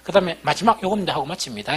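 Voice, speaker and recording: A man's voice: a little high, lively intonation, very fast, slightly rough. A middle-aged man with a Busan-area Gyeongsang accent preaching as a pastor in an informal, conversational teaching style, asking rhetorical questions and repeating key points.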